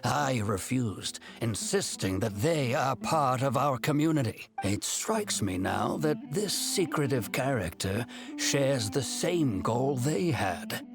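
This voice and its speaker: An actor playing a solemn, protective village leader in an anime game, grave and deliberate. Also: male voice, medium pitch, very animated, gravelly, exaggerated voice. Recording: music behind the voice.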